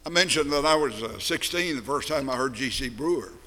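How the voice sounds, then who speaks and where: An older man with a deep, distinctive voice, reminiscing in a sermon with slow, deliberate phrasing. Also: lively intonation, sharp tone, long reverb tail. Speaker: preacher. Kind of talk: sermon-style storytelling.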